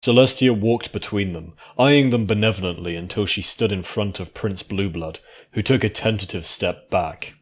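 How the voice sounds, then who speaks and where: A man narrates a written fantasy story. His voice sits on the low side. The delivery is a scripted storytelling read. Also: normal speed, hardly any pauses. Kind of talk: scripted fan fiction narration.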